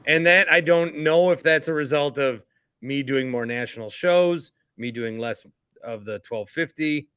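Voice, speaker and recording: A man's voice: on the high side, measured pace, fairly bright tone, clear, resonant tone, no room sound, studio quality. A middle-aged man hosting a sports podcast in a casual, chatty way.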